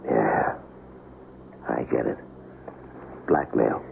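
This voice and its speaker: An actor playing a wary, shrewd small-time crook on the run, terse and guarded, in an old-time radio crime drama. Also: male voice, rumbling low, steady tone, extremely slow, creaky, exaggerated voice. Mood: shrewd, probing. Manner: terse, guarded, tough-talking. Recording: muffled, hissy old radio recording.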